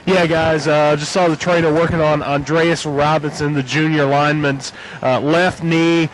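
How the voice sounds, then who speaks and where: A man's voice: a little high, flat delivery, a little hiss or hum, low-quality recording. A man giving an injury update from the sideline of a football game, with lots of uhs. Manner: halting, filler-laden delivery. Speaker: sideline reporter.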